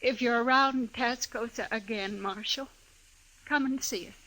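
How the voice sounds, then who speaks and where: An actress playing a dignified, composed American frontier widow, restrained and direct, in an old-time radio western. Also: female voice, fairly high pitch, a little slow, sharp tone, low-quality recording. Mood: searching, sincere.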